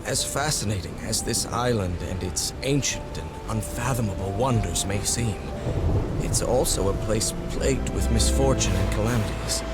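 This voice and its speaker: An actor playing a weary, devoted 1930s husband, reading his letter in a somber, measured, literary way for a video game. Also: fairly low pitch, lightly acted. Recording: music bed under the voice. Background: loud music.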